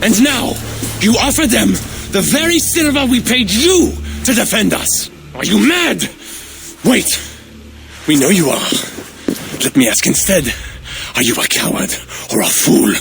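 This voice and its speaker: Enraged frankish count, rough gravelly voice swinging high and low, music behind. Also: male voice, big pitch swings, unhurried, long pauses, heavily acted. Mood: scornful. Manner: bitter, scornful. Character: grieving furious Frankish count, grown man. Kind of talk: video game cutscene acting. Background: game score.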